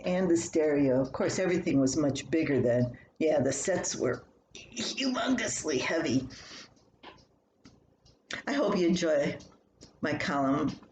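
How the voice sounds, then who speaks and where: An older woman with a slightly low voice reads a light personal column aloud. Her delivery is folksy and conversational, like home storytelling. The room adds noticeable echo, with faint noise behind her. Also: lively intonation, a little slow, long pauses, darkish tone. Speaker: columnist reading own work.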